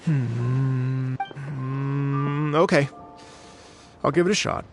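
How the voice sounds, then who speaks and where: A formal, gravelly foreign musician. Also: male voice, mid-range voice, steady tone, very slow. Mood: cautious, formal. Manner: formal, hesitant and precise. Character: earnest foreign male musician and festival organizer, formal and cautious. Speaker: musician and festival organizer. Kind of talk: game cutscene voice acting. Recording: game audio with background music.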